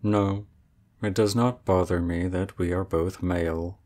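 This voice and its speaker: A man's voice: deep voice, steady tone, measured pace, darkish tone. A man playing a proud, aloof male dragon in human form, speaking formally and with cool reserve in an ASMR roleplay.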